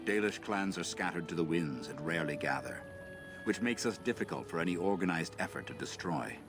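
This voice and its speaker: An actor playing a grave, proud elven elder in a video game, speaking in a measured, formal, solemn way. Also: male voice, low voice, expressive. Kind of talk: video game dialogue, solemn lore telling. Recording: background music under the voice.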